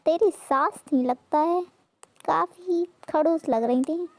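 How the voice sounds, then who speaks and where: A woman voicing a cheeky young female friend who gossips, in a soft, very high put-on voice. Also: slow, no room sound. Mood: gossipy.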